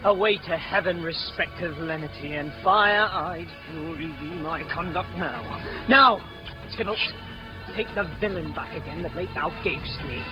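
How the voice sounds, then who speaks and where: An actor playing a passionate, impulsive young romantic hero in a classical radio drama, earnest and declamatory. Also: male voice, fairly high pitch, measured pace, natural voice. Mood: furious, vengeful. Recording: dull lo-fi radio drama with music.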